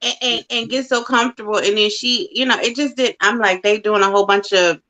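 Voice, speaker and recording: An emphatic woman co-hosting a casual recap chat. She is opinionated and quick to laugh, talking in a loose, conversational way. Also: mid-range voice, fairly even intonation, pushed and bright, poor audio. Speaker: podcast co-host.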